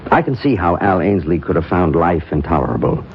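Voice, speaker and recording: An actor in a 1970s radio drama playing an earnest diagnostician, questioning in a measured, insistent way. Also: male voice, deep voice, very animated. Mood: probing, earnest. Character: probing, earnest male diagnostician and confidant. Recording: dull, slightly hissy vintage radio recording.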